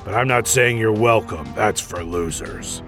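Man putting on a low, brooding masked superhero. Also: measured pace, music in the background. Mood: deadpan, brooding. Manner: clipped, brooding, self-serious. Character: stoic, self-important gruff masked superhero. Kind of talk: comic audiobook-style reading.

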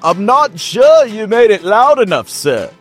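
Man doing a snarky butler, pitched up high. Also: unhurried, a little mellow, soft background music. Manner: snarky, quipping. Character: snarky, dry male butler.

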